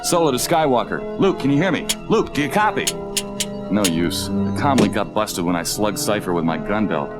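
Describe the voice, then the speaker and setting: Cocky, commanding male smuggler, played by an actor, lively swings in delivery, audio drama over loud music. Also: lowish voice, moderate pace, natural voice. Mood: brisk, urgent. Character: brash, decisive male space smuggler.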